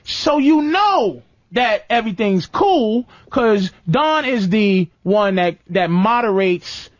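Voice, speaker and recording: A man's voice: sits high, expressive, takes it slowly, no echo, dull audio. An American man hosting a casual comedy radio talk show, blunt and profane, animatedly acting out what people say.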